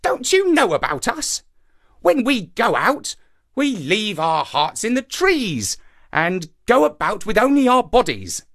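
A man voicing a clever, excitable monkey in an animal folktale, exclaiming theatrically with indignant, crafty airs. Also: sits high, measured pace, pitched above the performer's own voice, full character voice.

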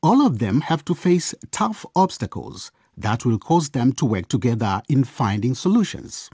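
A man's voice: medium pitch, sing-song, moderate pace, dark tone, slightly rough, dry recording, studio quality. A man reading scripted narration that introduces a radio drama.

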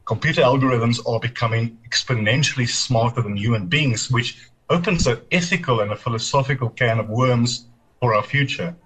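A man's voice: medium pitch, a little fast, fairly bright tone, husky, rough recording. A middle-aged man, an Afrikaans speaker, giving earnest, explanatory interview answers and sometimes correcting himself. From South Africa. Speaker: technology commentator guest.

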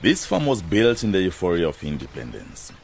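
A man's voice: sits low, wildly swooping pitch, fairly quick, gravelly, a little hiss or hum, full character voice. An actor playing a proud, hard-headed farmer and businessman in a radio drama, self-assured and matter-of-fact.

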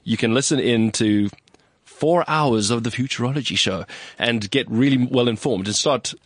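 A man's voice: medium pitch, normal speed, no echo. A man hosting a studio radio show, upbeat and chatty as he introduces topics and recaps earlier episodes.

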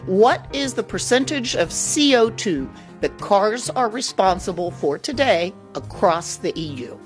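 A woman hosting a scripted radio programme in a chatty, expressive way. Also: mid-range voice, a little slow, faint music behind. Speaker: radio show host. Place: radio studio.

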